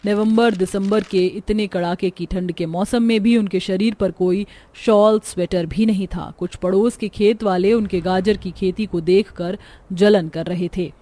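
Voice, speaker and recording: A woman's voice: medium pitch, steady tone, measured pace, dark tone, no room sound. A woman narrating a scripted cartoon story as the storyteller.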